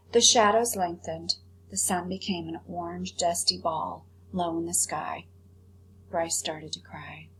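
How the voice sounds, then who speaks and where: A woman's voice: on the low side, rough recording. A woman teacher reading a storybook aloud and commenting on it for pupils. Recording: clear background hum and noise.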